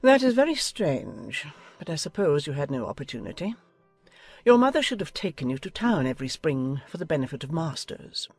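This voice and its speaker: A woman's voice: low voice, very animated, brisk, breathy edge, dry recording, faint music behind, exaggerated voice. A woman playing an imperious, nosy older noblewoman in a dramatized audiobook, haughty and decisive. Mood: incredulous, disapproving. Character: imperious, nosy older aristocratic English lady.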